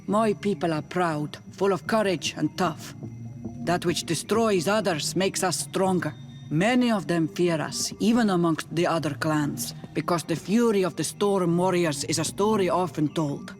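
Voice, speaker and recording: An actress playing a proud, stern chief of a warrior clan in a fantasy game, with solemn, guarded, declarative speech. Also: female voice, fairly low pitch, unhurried, darkish tone, faint music behind, close to an everyday voice. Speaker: game character actor. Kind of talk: scripted fantasy game dialogue.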